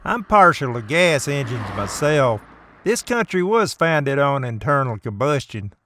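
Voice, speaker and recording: A man playing a blunt, boastful, folksy car enthusiast in an animated comedy. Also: mid-range voice, unhurried, slight background noise. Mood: scornful boasting. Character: opinionated, folksy American muscle-car enthusiast.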